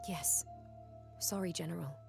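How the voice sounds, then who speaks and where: A woman's voice: on the low side, unhurried, vocal fry, over music. A woman playing a hurting, defiant young female soldier in a fantasy audio drama, with an emotional delivery. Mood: chastened.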